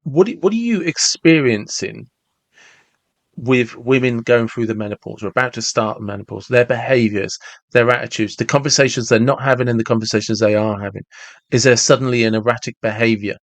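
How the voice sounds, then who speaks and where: Male podcast host, forties, conversational and reflective, halting rephrasing, medium pitch, very dry close recording. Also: no echo. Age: 40s.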